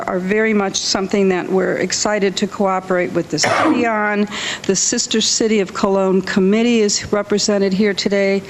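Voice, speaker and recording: Woman giving a welcome speech, echoey hall. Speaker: library host introducing speakers at a launch event. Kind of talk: prepared welcome speech at a public event. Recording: echoey hall, rumbling room noise.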